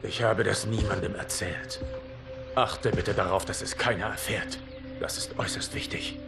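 An actor playing a calm, grave protector of the realm, speaking in a measured, earnest way in dramatic game dialogue. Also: male voice, on the low side, vocal fry, music in the background. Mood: confiding, urgent. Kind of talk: dramatic dubbed video game acting.

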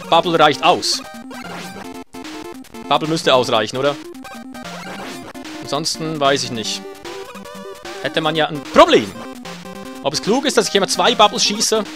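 Male let's-player, somewhat high voice, dry sarcasm, faint game music underneath. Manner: sarcastic, swears when hit. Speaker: let's play gamer. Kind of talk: casual gameplay commentary.

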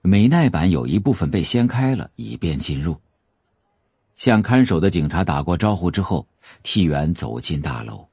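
Deep, creaky-voiced male narrator. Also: sing-song, normal speed, mellow, dry recording. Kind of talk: scripted audio drama narration of a novel.

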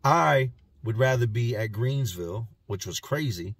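A man in his thirties with a raspy voice, vlogging bluntly from a parked car. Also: medium pitch, animated, unhurried, dry recording. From Virginia, US. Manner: blunt, plain-spoken street talk. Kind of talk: casual talking-head vlog. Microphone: phone camera at arm's length.

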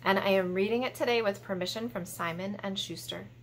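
A woman's voice: medium pitch, brisk, a little hiss or hum. A middle-aged woman hosting a children's storytime, speaking expressively and directly to young listeners as if reading aloud. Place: small indoor room. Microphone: camera a short distance away.

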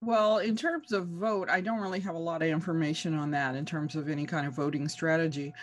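A man's voice: fairly high pitch, steady tone, fairly quick. A man speaks as a researcher on a panel over a video call, earnest and listing examples. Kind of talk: panel discussion answers.

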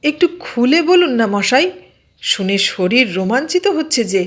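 A woman voicing a nosy, excitable man in an audio story, eagerly questioning and exclaiming. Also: sits high, normal speed. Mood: curious, thrilled.

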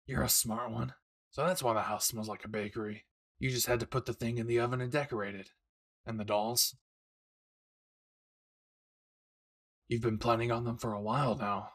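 A man playing a brash but devoted young husband and excited father-to-be in an intimate, teasing, tender audio roleplay. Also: medium pitch, fairly quick, husky. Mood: tender, amused.

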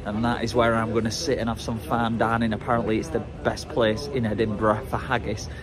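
A man's voice: lowish voice, monotone, brisk, a crowd in the background. A young man talking casually to a handheld camera on city streets, chatty, cheeky and playful. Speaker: travel vlogger. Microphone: handheld camera at arm's length.

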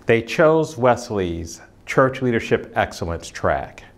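A man's voice: medium pitch, sing-song, slow. A man presenting a seminary program in formal, scripted narration, speaking on camera indoors. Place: indoor office with painting behind.

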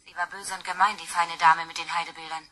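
An actress playing a venomous, insistent, possessive mistress on the telephone in a radio crime drama. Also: female voice, on the low side, flat delivery, fast, bright, forceful tone. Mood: spiteful, gloating. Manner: insistent, spiteful. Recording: noisy phone call with clicks.

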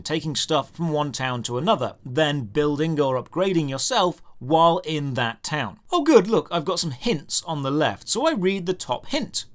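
A man hosts a scripted, comedic video game review. His voice sits a little high and swings widely in pitch. He stresses his points with sarcasm and exasperation. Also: fairly bright tone. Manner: sarcastic, exasperated, emphatic delivery.

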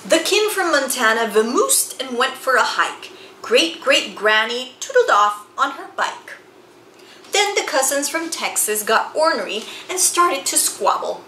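A woman reads a children's story in a bright, very lively voice. Her phrasing is theatrical and emphatic, taken at an unhurried pace. The room adds noticeable echo. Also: high voice. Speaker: picture book reader. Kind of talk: expressive children's read-aloud storytelling. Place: small indoor room with bookshelves and chalkboard. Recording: roomy sound with faint background noise.

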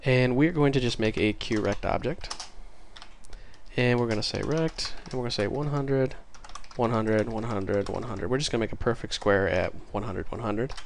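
A man with a congested voice from a cold, casually talking through a coding tutorial while typing, chatty and easily excited. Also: medium pitch, normal speed, gravelly, slight background noise. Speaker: programming tutorial host.

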